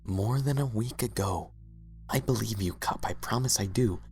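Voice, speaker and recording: A man playing a gentle, tired and patient cartoon pony husband, speaking softly and reassuringly. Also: fairly low pitch, normal speed, close to an everyday voice. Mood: patient skepticism.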